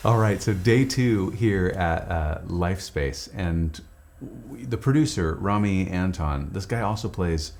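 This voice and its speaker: A man's voice: deep voice, measured pace, a little mellow, slight background noise. A man speaking casually and enthusiastically in an informal storytelling style, like a musician narrating a video diary. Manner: enthusiastic, informal, peppered with "like" and "dude". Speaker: musician narrating the making of his record; Anglican priest.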